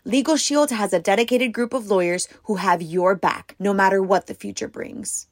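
Woman, ad read, brisk, reassuring, dry close sound. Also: medium pitch, dry recording.

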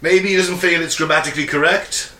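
A man's voice: a little high, fairly even intonation, brisk, pushed and bright, recorded from a distance. A man with a Shropshire accent, co-hosting a podcast with sweary, jokey banter. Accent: Shropshire English. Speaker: podcast co-host. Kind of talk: casual chat. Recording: echoey room, faint background hiss.

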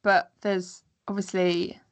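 A woman's voice: lowish voice, little pitch movement, slow pace. A woman hosting a travel podcast, reading a scripted welcome and then interviewing her guest with short, friendly questions. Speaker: podcast host.

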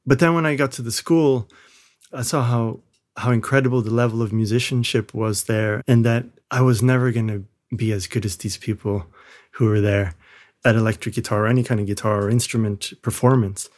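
A man of average pitch who grew up in Malaysia talks from his home studio as a podcast guest. He answers in a loose, reflective way, restarting phrases and hedging as he thinks. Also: fairly even intonation, fairly quick, a little mellow. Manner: reflective, with frequent restarts and hedges. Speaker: guest, film composer. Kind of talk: casual interview talk.

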